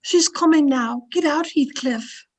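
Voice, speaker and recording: Woman acting high-pitched housekeeper, brisk, frank, protective, firm questioning, radio play. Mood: brisk, scolding. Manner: firm, questioning, plain-spoken. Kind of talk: old-time radio drama acting.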